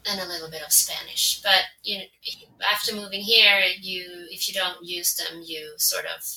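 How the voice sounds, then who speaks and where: A Swedish woman in her forties with a Swedish accent, a translator whose native tongue is Swedish, answering interview questions thoughtfully and pausing to find her words. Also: lowish voice, steady tone, pushed and bright. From Sweden. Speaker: guest, translator. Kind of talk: conversational interview. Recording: roomy echo.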